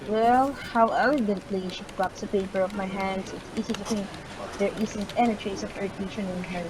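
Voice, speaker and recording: A woman's voice: mid-range voice, fairly even intonation, a little fast, background noise. A woman reading a visual novel's first-person story aloud, sometimes stumbling over words.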